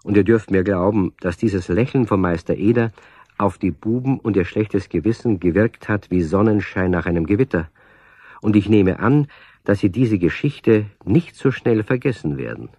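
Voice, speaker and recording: A man playing the storyteller of a children's radio play, narrating in a husky, measured, confiding voice. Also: on the low side, animated, mellow, no room sound. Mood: storytelling, confiding. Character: male storyteller addressing child listeners.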